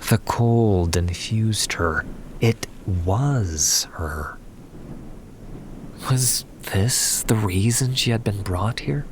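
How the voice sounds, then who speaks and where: Slow, low-voiced male fantasy narrator. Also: a little hiss or hum, poor audio. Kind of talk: dramatic scripted fantasy narration. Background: music.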